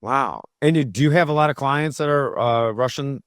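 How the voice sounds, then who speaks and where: A male podcast host at a middle pitch who sounds eager as he opens the show. He often stops and restarts his phrases while he talks. The sound is dry and close to the mic. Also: normal speed, no room sound. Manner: enthusiastic, restarts and self-corrections. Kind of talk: podcast interview.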